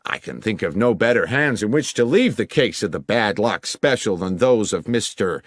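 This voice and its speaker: Man playing hesitant, low-voiced businessman. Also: expressive, normal speed. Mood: grateful. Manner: hesitant, polite, confiding. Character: genteel, embarrassed businessman fond of puns.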